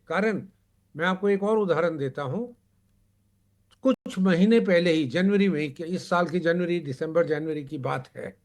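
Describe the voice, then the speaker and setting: A man speaking as a panelist in a televised discussion, emphatic, in short broken phrases with repetition. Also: on the high side.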